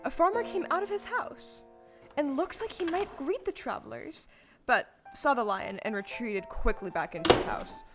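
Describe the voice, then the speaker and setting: A woman's voice: sits high, animated, moderate pace, soft background music. A woman playing the storybook narrator in an audio play, reading scripted narration. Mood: storytelling.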